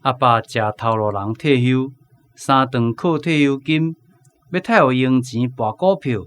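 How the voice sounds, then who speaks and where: A man's voice: mid-range voice, measured pace, a bit bright, clean tone, close to an everyday voice. An actor with a Taiwanese accent playing a weary, reluctant retired father in a radio drama.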